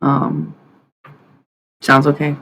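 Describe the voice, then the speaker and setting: A woman with a raspy voice hosting a live stream from home at night, chatting loosely to viewers and swearing freely. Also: low-pitched, monotone, extremely slow, fairly bright tone, a little hiss or hum. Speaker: livestream host. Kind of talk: casual live-stream chat. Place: at home at night, others asleep nearby.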